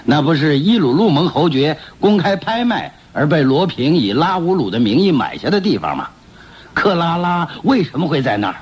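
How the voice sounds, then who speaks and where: An actor playing a ruthless, scheming, hot-tempered gang boss with a thick, hoarse voice, barking orders in a radio play. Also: male voice, a little high, big pitch swings, moderate pace. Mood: scheming, demanding. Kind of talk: theatrical radio drama acting. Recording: muffled lo-fi radio drama recording.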